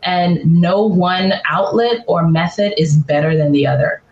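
A woman's voice: lowish voice, measured pace. A woman from the Bahamas, a podcast guest, speaking earnestly and building her points in repeated lists. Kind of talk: reflective interview answers. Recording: roomy, dull remote audio.

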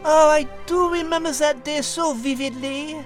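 A woman as a high-voiced, polite French animal. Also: fairly even intonation, normal speed, soft background music, lightly acted. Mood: thoughtful. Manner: polite, inquisitive, precise. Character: polite, curious, refined French female animal.

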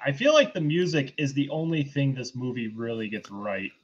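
A man with a mid-pitched voice gives a casual, sardonic recap as a show co-host. The audio is a little dull and lo-fi. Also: expressive, moderate pace, slightly rough. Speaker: podcast co-host recapping films. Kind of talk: casual plot recap and commentary.

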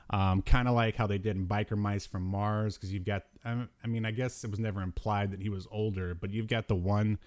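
Low-voiced man in halting podcast chat. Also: darkish tone. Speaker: podcast host. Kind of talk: casual chat about retro cartoons.

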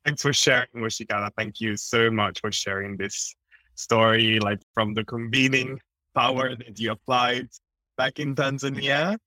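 A man's voice: mid-range voice, fairly even intonation, normal speed, bright, forceful tone, dry recording, low-quality recording. A man hosting a podcast, interviewing in a conversational style. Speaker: podcast host.

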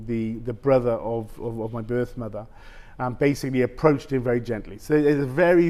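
A man in his fifties, a guest telling a personal story in casual conversation, halting and full of restarts. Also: medium pitch, a little mellow. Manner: halting, with many restarts and "basically". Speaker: interview guest.

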